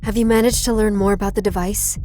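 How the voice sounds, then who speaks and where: A woman's voice: mid-range voice, fairly even intonation, fast, dark tone, lightly acted. A woman playing an earnest, protective informant in a visual novel, giving guarded, urgent warnings in clipped phrases. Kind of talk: visual novel character acting. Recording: game music behind voice.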